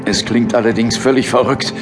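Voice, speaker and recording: A man's voice: medium pitch, fairly even intonation, brisk, lightly acted. An actor playing a brooding, determined male investigator in an audio drama. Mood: worried, insistent. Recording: music behind the voice.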